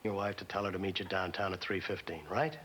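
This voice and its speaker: A man's voice: deep voice, fairly even intonation, quick pace, noisy background, lightly acted. An actor playing an exasperated male movie director in a 1960s television drama. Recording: old TV soundtrack, loud music.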